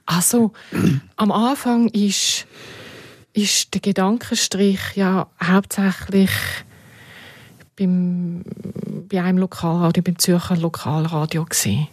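A woman's voice: lowish voice, fairly even intonation, takes it slowly, a little mellow, husky, no echo, studio quality. A middle-aged or older woman speaking as an interview guest in a conversational, reflective way. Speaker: interview guest looking back on media work. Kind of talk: conversational reminiscence.

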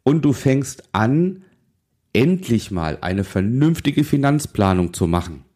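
A man's voice: mid-range voice, big pitch swings, slow pace, dark tone. Insistent man in his forties, motivational talk. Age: 40s. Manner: insistent, exhorting, with rhetorical questions. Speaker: financial advisor and podcast host. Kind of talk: motivational monologue addressed directly to the listener.

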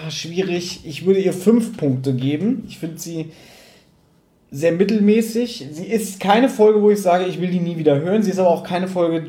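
A man's voice: on the high side, warm tone. Blunt man in a roomy space. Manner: opinionated, blunt, colloquial swearing. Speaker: podcast host. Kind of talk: casual review chat.

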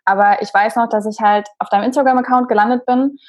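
A woman's voice: fairly high pitch, fairly even intonation, fast, no room sound. A woman hosting a podcast, asking her guest friendly, encouraging questions in casual talk. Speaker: podcast host.

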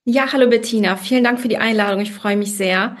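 A woman in her thirties, born in Russia and raised in Germany, telling her personal story as a podcast guest with many pauses and fillers. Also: mid-range voice, steady tone. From born in Russia, grew up in Germany. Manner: hesitant, many fillers and restarts.

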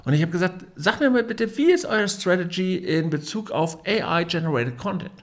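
Guy cohosting a podcast, kinda high voice, lots of ähms and restarts. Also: expressive, moderate pace. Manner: storytelling, many fillers and restarts. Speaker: podcast co-host. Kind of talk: casual business podcast chat.

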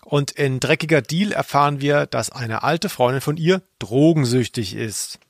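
A man's voice: mid-range voice, normal speed, no echo. A man co-hosting a podcast, chatting casually and with dry irony about audio dramas. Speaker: podcast co-host.

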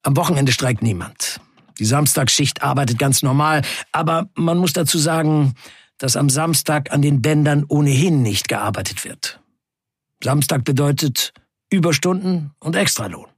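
Guy playing a defiant factory worker telling his story in a radio play, bit raspy, emphatic, very dry sound. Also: on the high side, normal speed, no echo. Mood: determined. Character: defiant male Turkish factory worker recounting a wildcat strike. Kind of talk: dramatic first-person audio drama narration.

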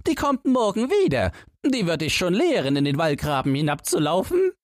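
A man voicing a hoarse old chained dog, a gruff know-it-all who barks and lectures in a storybook tale. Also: huge pitch swings, normal speed, no room sound, clean studio sound. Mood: lecturing, scornful. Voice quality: hoarse, strained high barking voice. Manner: barking, curt, lecturing.

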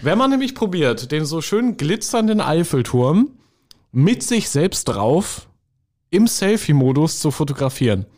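Male podcast host, middle pitch, chatty and enthusiastic. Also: big pitch swings, a little slow, darkish tone. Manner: chatty, enthusiastic, frequent fillers. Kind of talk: casual podcast chat.

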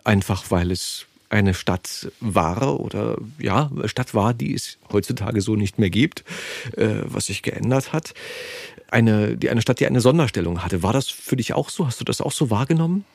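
Gravelly, low-voiced male podcast host. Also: moderate pace, darkish tone, dry recording. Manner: curious, amazed interjections. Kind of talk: conversational interview.

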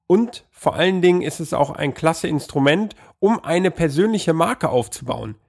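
A man's voice: on the high side, lively intonation, normal speed, creaky, a little mellow, slightly rough. Upbeat male podcast host with a cold. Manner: upbeat, welcoming, hesitant with fillers when asking. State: has a cold. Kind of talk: podcast intro and interview.

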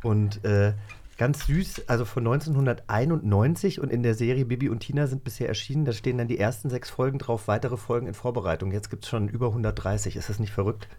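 A man's voice: medium pitch, fast talker, mellow. A man in his forties chatting casually and enthusiastically as a podcast co-host. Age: 40s.

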